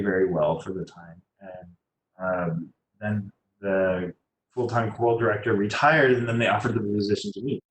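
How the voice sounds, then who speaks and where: In an echoey room with faint noise behind him, a man gives informal career advice in a low voice. He speaks haltingly, as a guest on a video call, and often lets phrases trail off. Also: a little mellow. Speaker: guest, landscape designer and choral musician.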